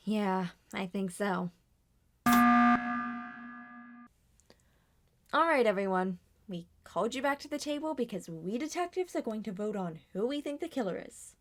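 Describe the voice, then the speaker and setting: A woman's voice: medium pitch, lively intonation, normal speed, natural voice. A woman voicing an edgy, suspicious young female robot detective in an amateur roleplay drama, with a blunt, sardonic manner. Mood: impatient, bossy.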